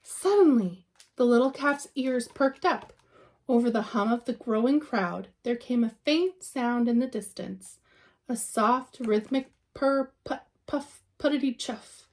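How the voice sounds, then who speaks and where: A woman reading a children's picture book aloud in an expressive storytelling style, with dramatic emphasis and drawn-out words. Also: a little high.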